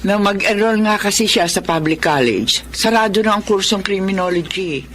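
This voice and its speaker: A woman's voice: medium pitch, moderate pace, a bit bright, a little hiss or hum, close to an everyday voice. An actress playing a Filipina mother who patiently reasons and protests in defence of her son in a radio drama. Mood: defensive.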